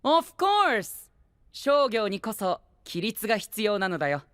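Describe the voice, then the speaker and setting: A man playing a prim, rigid young gentleman knight in an anime-style voice drama, formal and pompous, sprinkling in English exclamations. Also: high voice, sing-song, slow pace, clear, resonant tone, no room sound, studio quality, heavily acted. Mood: insistent, self-assured.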